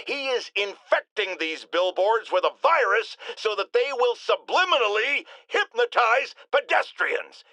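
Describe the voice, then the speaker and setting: A man's voice: sits high, bright, forceful tone, no room sound, full character voice. An actor playing a blustering, indignant middle-aged man who hosts an opinion radio show in a video game, pompous and theatrical. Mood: conspiratorial. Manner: pompous, theatrical, emphatic delivery. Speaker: talk radio host. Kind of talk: bombastic opinion radio monologue.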